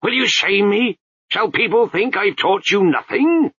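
A man doing a gruff old monk teacher. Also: high voice, lively intonation, a little slow, a bit bright, no room sound, lo-fi sound, voice pushed up, exaggerated voice. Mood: commanding. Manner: gruff, clipped, commanding.

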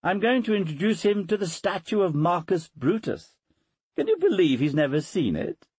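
Man voicing a proud Roman noblewoman, pitched up. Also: sing-song, moderate pace, a little mellow, slightly rough, no room sound, exaggerated voice. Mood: poised, fond. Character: Roman noblewoman, proud mother and wife, sharp-tongued. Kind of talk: audiobook character dialogue.

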